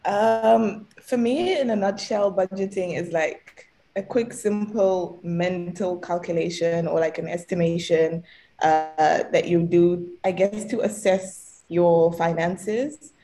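Brief: young woman guest, somewhat low voice, relaxed and explanatory. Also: slow. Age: young adult. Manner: relaxed, explanatory, laughs lightly. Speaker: student guest. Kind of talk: casual podcast chat.

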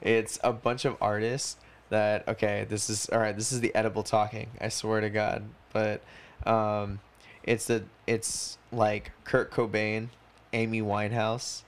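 Man in his twenties, lowish clear voice, casual podcast chat, rambling, slangy, sweary. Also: little pitch movement, a little slow. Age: 20s. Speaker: podcast co-host.

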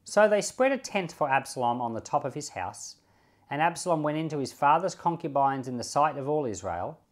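A man reading scripture and giving informal devotional commentary in a measured, deliberate way, leaning on key words. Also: fairly high pitch, expressive. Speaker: Bible reader and pastor giving commentary.

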